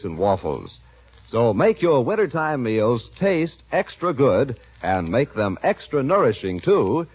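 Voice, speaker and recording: Husky 1940s radio announcer, a man. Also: mid-range voice. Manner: smooth, persuasive, spelling things out. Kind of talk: scripted radio commercial. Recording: dull 1940s radio broadcast.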